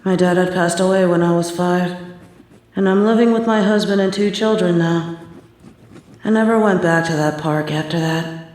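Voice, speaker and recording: A young adult woman performing a scripted horror narration, telling the story in a hushed, tense manner. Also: fairly low pitch, normal speed. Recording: very echoey room.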